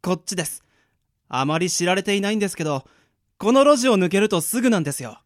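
A man's voice: sits high, expressive, quick pace. A man playing an earnest young secret agent in a radio drama, with dramatic delivery and inner monologue. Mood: helpful. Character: earnest young male secret agent, newly trained, defiant.

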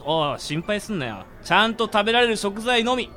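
A man hams up a theatrical young schemer in a radio drama. The voice is bright and forceful, swinging widely in pitch as he declaims with mock grandeur. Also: big pitch swings, a little fast, faint music behind, heavily acted. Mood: gleeful, showy. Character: theatrical, gleeful young man grandly announcing absurd plans, Satoru. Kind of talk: amateur radio drama acting.

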